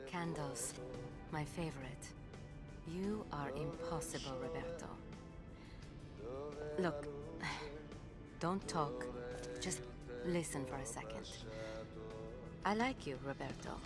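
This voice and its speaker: A woman playing a self-assured lover who speaks in an intimate, hesitant, gentle way. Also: deep voice, takes it slowly, pauses often, over loud music. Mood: tender, reluctant.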